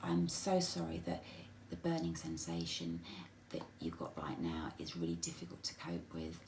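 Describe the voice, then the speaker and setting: A middle-aged woman with a husky, hoarse voice and a dry throat, speaking softly and haltingly in a personal message to viewers. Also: rumbling low, a little hiss or hum. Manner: soft, halting, with many restarts and ums. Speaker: vlogger.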